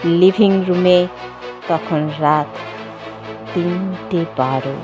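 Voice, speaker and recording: A woman narrating a horror story in the first person, theatrical and suspenseful, with drawn-out pauses. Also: lowish voice. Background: background music.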